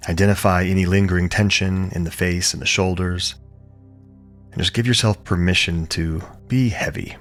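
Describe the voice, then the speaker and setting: A man leading a guided relaxation as a yoga teacher, speaking calmly and soothingly in short, measured phrases with pauses. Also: deep voice, soft background music. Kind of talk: guided meditation, gentle instruction.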